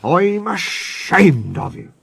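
A brave old steam engine from a children's storybook, played by a man. He is stern and emphatic, with a raised, swinging pitch and slow, deliberate words. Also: sing-song, creaky, fairly bright tone, husky, heavily acted. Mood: stern, scolding. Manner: firm, emphatic scolding and pleading.

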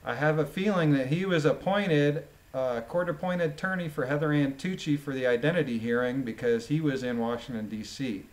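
Guy with a slightly high voice going through a transcript, casual comments, kinda halting and emphatic. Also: rough recording. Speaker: commentator, former police officer. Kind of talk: reading a court transcript aloud with informal commentary.

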